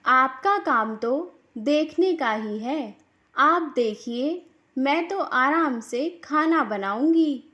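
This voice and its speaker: A woman voicing a boastful, scornful rich daughter-in-law in a cartoon moral story, quick to take offence. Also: high voice, lively intonation, sharp tone, dull audio, heavily acted. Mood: haughty, scornful.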